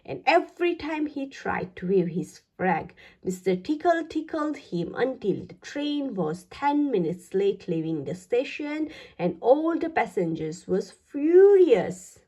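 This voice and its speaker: A woman reading a children's picture book aloud, with expressive, deliberate phrasing aimed at young listeners. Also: a little high. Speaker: children's story reader. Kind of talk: read-aloud children's storytelling.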